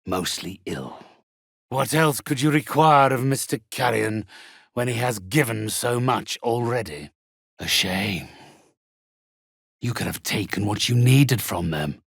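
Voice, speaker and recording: An actor playing a sinister, haughty undead necromancer in a video game, with a raspy voice and formal, drawn-out, menacingly polite delivery. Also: male voice, medium pitch, a bit bright, no echo, clean studio sound, heavily acted. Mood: aloof, courteous. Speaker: spirit medium. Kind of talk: theatrical video game villain acting.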